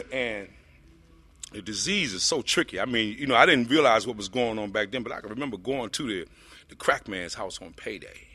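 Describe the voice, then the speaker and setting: A man with a deep voice telling his personal recovery story to a group, rambling with lots of fillers. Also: animated, normal speed, bright, forceful tone, slightly rough, dry recording. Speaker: speaker sharing a recovery story.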